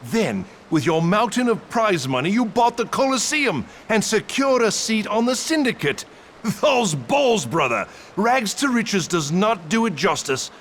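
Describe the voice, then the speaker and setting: An actor playing a proud, gracious old male knight captain in a game cutscene, speaking in a formal, measured way. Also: high voice, big pitch swings, fairly bright tone, slight background noise, full character voice. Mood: admiring. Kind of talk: video game cutscene voice acting.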